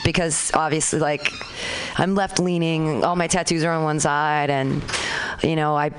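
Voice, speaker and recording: A woman hosts a live comedy night, talking in loose, rambling runs full of "like" and "you know". She jokes as she goes, and steady noise sits under her voice. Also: low-pitched, moderate pace, poor audio. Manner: rambling, filler-heavy, joking. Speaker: comedy show host. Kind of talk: casual emcee banter.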